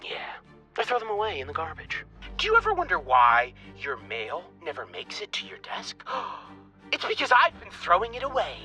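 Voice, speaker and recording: An actor playing an agitated, bitterly petty office worker who rambles with awkward politeness in a comic audio drama. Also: male voice, high voice, wildly swooping pitch, moderate pace, pushed and bright, soft background music, exaggerated voice. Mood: spiteful, gloating. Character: agitated, spiteful male office worker quitting by voicemail.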